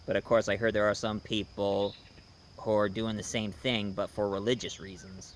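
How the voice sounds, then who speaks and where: A man giving a casual, conversational review commentary with history asides, speaking informally and haltingly as he goes. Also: on the low side, level tone, slight background noise. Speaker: video reviewer.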